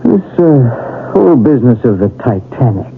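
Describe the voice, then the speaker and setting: A man playing a calm, thoughtful, probing doctor in a 1970s radio drama. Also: medium pitch, moderate pace, vocal fry. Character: Carlos, calm inquisitive male doctor open to the occult. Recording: tinny, noisy 1970s radio recording.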